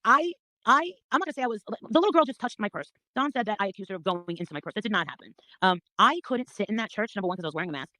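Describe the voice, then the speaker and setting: Woman, middle-aged, very fast talker, sharp forceful voice, blunt, gossipy, sweary. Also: medium pitch, dry recording, poor audio. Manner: blunt, confrontational, animated storyteller, swears freely. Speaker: guest telling her story. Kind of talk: casual gossipy chat.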